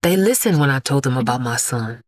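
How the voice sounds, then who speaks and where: Low-voiced grieving mother. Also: animated, dry recording, clean studio sound. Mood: wounded, resolute. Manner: emphatic, deliberate, repeating words for weight. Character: grieving, defiant mother, an immortal vigilante bag lady. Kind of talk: dramatic first-person monologue in an audio drama.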